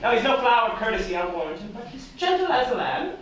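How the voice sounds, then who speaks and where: A woman's voice: fairly high pitch, sing-song, quick pace. A woman playing a bawdy, complaining old nurse in a Shakespeare play, teasing and groaning theatrically as she draws out her words. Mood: teasing, gushing. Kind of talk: Shakespearean stage acting. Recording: very echoey hall, distant mic, audience noise.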